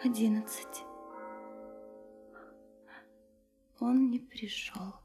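An actress in a radio drama playing a sincere, lovesick young woman, soft and hesitant, often dropping into a breathy whisper. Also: fairly high pitch, fairly even intonation, a little slow, faint music behind, natural voice. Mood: dejected, anxious. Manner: hesitant, earnest, confiding. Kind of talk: intimate radio drama acting.

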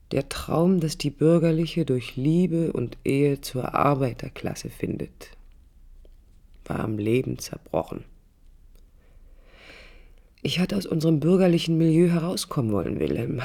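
Husky, low-voiced disillusioned young woman. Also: full character voice. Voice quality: husky, breathy. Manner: reflective, hushed, confiding. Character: disillusioned young divorced bourgeois woman recounting her past. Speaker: narrator and protagonist. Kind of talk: intimate radio play monologue. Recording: music under studio radio drama. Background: music.

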